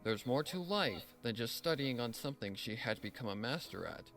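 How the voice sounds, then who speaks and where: A man's voice: mid-range voice, lively intonation, moderate pace, fairly bright tone, faint music behind. A man narrating a dramatic story from a script as the storyteller.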